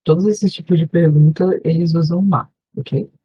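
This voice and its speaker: A woman's voice: lowish voice, steady tone, normal speed, husky, no echo, dull audio. A woman with a Brazilian Portuguese accent, a volunteer teacher giving an online class review, explaining patiently and checking in often with her students. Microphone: video call.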